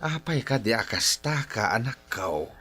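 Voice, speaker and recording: A man's voice: medium pitch, sing-song, a little slow, pushed and bright. An actor playing a devout older father in a Philippine radio drama, earnestly admonishing his grown son. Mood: chiding.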